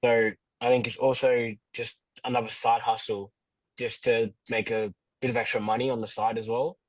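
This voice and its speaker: A young man answering questions as a prospective client, in a hesitant, halting and understated way. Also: mid-range voice, flat delivery, no room sound, poor audio. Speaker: prospective client on a sales call.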